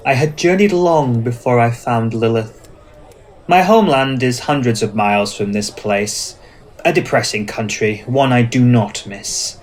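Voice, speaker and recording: A man's voice: medium pitch, unhurried, lightly acted. A man playing a courtly, passionate, dominant vampire, speaking in formal, poetic, theatrical phrases for an ASMR roleplay. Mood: wistful, assured.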